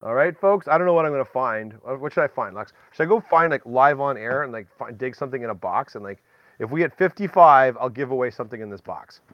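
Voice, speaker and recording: A man's voice: mid-range voice, expressive, quick pace, dry recording. Man hosting a podcast, dull dry sound. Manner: chatty, folksy exclamations, addresses listeners directly. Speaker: podcast host. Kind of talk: casual, rambling podcast chat.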